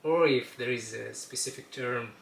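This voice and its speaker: A young man from Kazakhstan with a gravelly, fry-heavy voice that sounds bright. He talks in a casual interview, pausing and restarting often. The room is echoey. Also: medium pitch. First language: Russian. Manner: hesitant, frequent restarts and fillers. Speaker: podcast guest.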